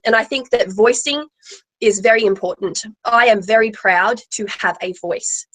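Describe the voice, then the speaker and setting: A woman's voice: fairly high pitch, fairly bright tone, low-quality recording. A woman with a big voice, speaking emphatically and repeating phrases for stress on a live tarot panel. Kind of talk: opinionated live panel talk.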